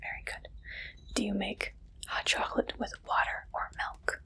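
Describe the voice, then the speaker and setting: A woman whispering in an ASMR roleplay as an interviewer, with a playful, teasing manner and soft questioning. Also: normal speed, low-quality recording.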